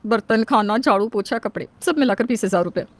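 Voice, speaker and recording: A woman playing a sharp-tongued, shrewd older housemaid in a family story, saucy and always talking back. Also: on the high side, fairly quick, no room sound, close to an everyday voice. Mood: defiant.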